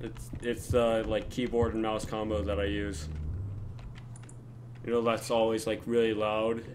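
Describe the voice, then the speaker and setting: A young man chatting casually while gaming, talking in halting bits with plenty of fillers. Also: on the low side, flat delivery, a little mellow, a little hiss or hum. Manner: hesitant, many fillers. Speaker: channel host gamer.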